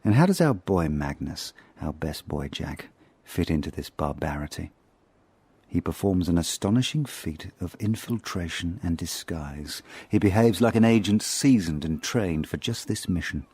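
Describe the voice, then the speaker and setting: A haunted english spy narrating in a radio play, low dry voice, wry n deliberate. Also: male voice, lively intonation, darkish tone, slightly rough, no room sound, full character voice. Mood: bitter irony. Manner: ironic, deliberate, literary. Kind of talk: radio drama dramatised narration.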